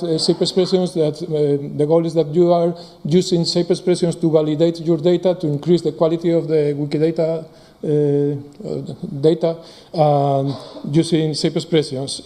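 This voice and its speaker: A man giving a live technical talk, speaking haltingly with many fillers. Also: fairly high pitch, flat delivery, mellow. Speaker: conference presenter.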